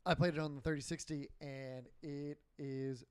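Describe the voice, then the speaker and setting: A man co-hosting a casual gaming podcast, rambling with lots of fillers and swearing, his voice getting hoarse and dry. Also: fairly high pitch, fairly even intonation, normal speed, a little mellow, dry recording. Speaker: podcast co-host.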